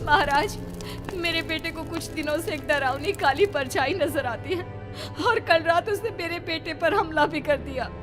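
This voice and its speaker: A woman's voice: high-pitched, measured pace, pitched above the performer's own voice. A woman voicing a protective, anxious village mother in a dramatic story, speaking urgently and emotionally. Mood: anxious, imploring. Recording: music playing behind the voice.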